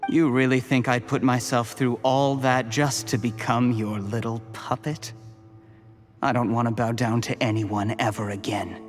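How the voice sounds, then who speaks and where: An actor playing a cold, calculating official turned poisoner in a video game, gloating in measured, mockingly polite monologue. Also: male voice, mid-range voice, fairly even intonation, faint music behind, natural voice. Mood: cold, defiant. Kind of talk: scripted video game voice acting.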